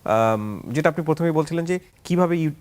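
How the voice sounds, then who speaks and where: A Bangladeshi man hosts a conversational interview. He speaks quickly, with very lively, swinging intonation, and drops English words into his questions. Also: fairly high pitch. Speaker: interview host.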